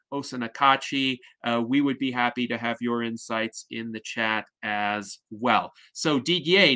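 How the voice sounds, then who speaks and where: A man in his thirties hosts an online panel over a video call, talking in a measured, articulate and formal way. His voice is bright and forward. He speaks from a study at home. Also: medium pitch. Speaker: host, moderator. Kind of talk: panel discussion moderation. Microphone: video call, headset.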